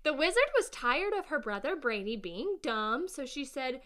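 Little sister role, voiced by a woman: bright, very animated, imaginative and stubborn, family audio drama. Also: sits high, moderate pace. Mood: playful, imaginative. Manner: expressive, childlike exclamations.

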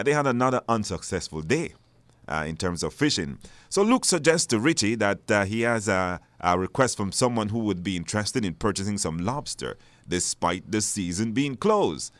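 A man hosts live radio in a fairly low voice. The studio sound is dry, and he hesitates and repeats words to stress them. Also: fairly bright tone, no echo. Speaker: radio talk show host.

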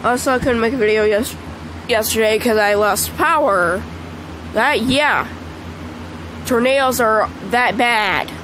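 A woman with a congested voice chatting casually over mobile gameplay, excitable and squealing at the game. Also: fairly high pitch, a little slow, pauses often, background noise. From Michigan. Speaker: gaming channel host. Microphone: phone screen recording.

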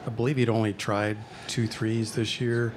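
A male newspaper reporter asks questions at a press conference, his lowish voice staying level. He speaks conversationally, with slight hesitations. Faint room noise sits underneath. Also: level tone, moderate pace.

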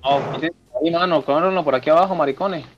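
A man's voice: a little high, fast, muffled recording, slight background noise. A man making casual, foul-mouthed callouts in an online game, repeating words in slang. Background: music.

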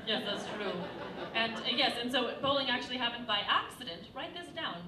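A woman's voice: on the high side, expressive, a little fast, crowd noise. A young woman at a stage mic, chirping agreeing asides and giggly comedic banter before a seated audience. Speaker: comedy singer. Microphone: stand mic, recorded by far camera. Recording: echoey live room from far off. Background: piano playing.

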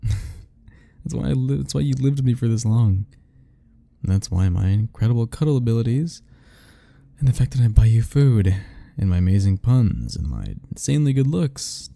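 Low gravelly teasing young man. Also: dark tone. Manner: teasing, playful, laughing often. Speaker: ASMR roleplay narrator. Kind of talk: ASMR boyfriend roleplay, talking to the listener.

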